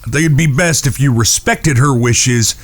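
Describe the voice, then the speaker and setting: A man playing a calm, resolute cowboy who speaks in a measured, folksy, firm way in a Western audio drama. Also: mid-range voice, close to an everyday voice. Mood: calm warning. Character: calm, resolute male cowboy gunslinger leader.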